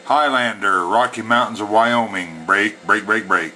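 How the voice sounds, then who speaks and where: Bright, forceful man on CB radio. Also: lowish voice, measured pace. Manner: folksy CB slang, repeated calls. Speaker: CB radio operator and demonstrator. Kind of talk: radio call-outs and casual explanation. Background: CB radio static and chatter.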